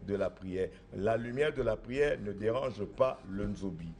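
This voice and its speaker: A man's voice: fairly low pitch, unhurried, a little mellow. A middle-aged man from Congo, a traditional spiritual master, answering interview questions in an assertive, insistent way that repeats points. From Republic of the Congo.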